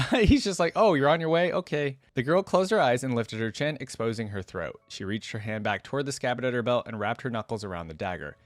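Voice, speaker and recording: A man's voice: mid-range voice, animated, brisk, hardly any pauses, dry recording. A man hosting a reaction video, reading a story aloud with casual commentary.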